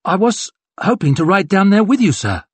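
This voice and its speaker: A man's voice: high voice, sing-song, normal speed, breathy edge, no room sound, voice pushed up, exaggerated voice. A man putting on a tearful young corporal. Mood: pleading. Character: tearful young male corporal, eager and devoted.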